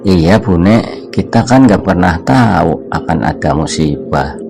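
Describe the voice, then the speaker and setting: Very low-voiced village man, matter-of-fact, with music behind. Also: animated, unhurried, vocal fry, heavily acted. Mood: worried. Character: plain middle-aged village man. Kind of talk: cartoon voice acting. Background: background music.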